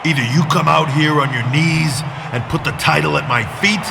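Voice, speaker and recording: An actor playing a menacing, vengeful wrestling champion who declaims threats in a scripted game promo. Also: male voice, fairly high pitch, fairly even intonation, vocal fry. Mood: threatening. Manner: slow-burning, threatening, declamatory. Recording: loud arena crowd and noise.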